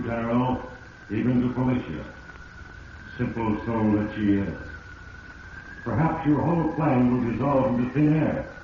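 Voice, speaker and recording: A man's voice: medium pitch, close to an everyday voice. Man acting an ominous echoing voice of Fate. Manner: solemn, deliberate, dramatic. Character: ominous male voice of Fate narrating a doomed schemer's diary. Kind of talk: 1940s radio drama second-person narration. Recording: muffled, hissy 1940s radio, heavy echo.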